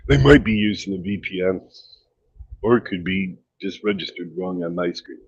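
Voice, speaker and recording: A man joining a livestream as a guest, explaining things in a hesitant, halting way. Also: deep voice, breathy edge, no echo. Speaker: stream guest. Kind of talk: casual livestream chat. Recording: dry, dull lo-fi call audio.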